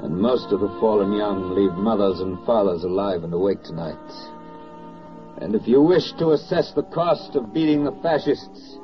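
A man's voice: mid-range voice, close to an everyday voice. A man performing as a solemn, poetic narrator in a 1940s wartime radio drama, with an oratorical delivery. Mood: grave, oratorical. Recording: muffled old 1940s radio recording.

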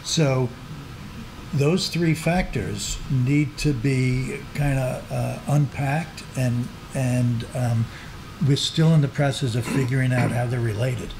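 A man's voice: medium pitch, fairly even intonation, slow, noisy background. A man speaking on an academic panel, explaining ideas in a thoughtful way, with some fillers. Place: large meeting room with seated audience. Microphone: table microphone.

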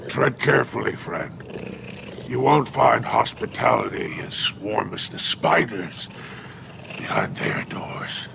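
A man's voice: medium pitch, animated, slow pace, background noise, full character voice. A man voicing a sly, hulking alien crime boss in a video game, with a growling, drawling, theatrical and mock-friendly delivery. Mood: sly, menacing. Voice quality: gravelly, growling. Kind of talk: video game character dialogue. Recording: music under the voice.

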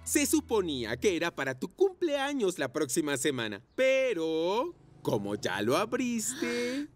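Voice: mid-range voice, huge pitch swings, unhurried, faint music behind. An actor voicing a stern but proud father, an adult delivery vehicle in a children's cartoon, authoritative and fatherly. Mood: playful.

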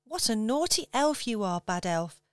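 A woman's voice: a little high, big pitch swings, normal speed, smooth, no echo, studio quality. A woman voicing a small, cheerful, helpful elf in a radio pantomime, speaking in a prim, cheerful way.

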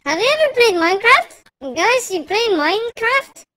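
Someone using a pitch-raising voice changer to play a bratty, boastful, foul-mouthed young boy trash-talking in an online game. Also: lively intonation, moderate pace, no echo, clean studio sound, heavily acted. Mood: boastful, chatty. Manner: whiny, swearing, shouty.

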